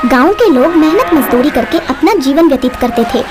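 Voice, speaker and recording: A woman narrates a children's story from a script. Her voice is high in pitch, and music plays clearly behind her. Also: animated, a little fast.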